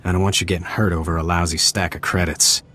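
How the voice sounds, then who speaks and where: A man's voice: low-pitched, little pitch movement, quick pace, pushed and bright. A man playing a wary, protective bounty hunter and devoted lover in a video game. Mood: doting.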